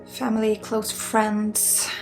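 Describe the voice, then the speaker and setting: A woman who is a native Swedish speaker, giving an ASMR energy healing in an intimate, halting, casual way, slipping often between soft voice and breathy whisper. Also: medium pitch, completely flat delivery, rough recording. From Sweden. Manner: casual, blunt, swearing, halting with asides. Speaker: spiritual healer and ASMR host. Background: music.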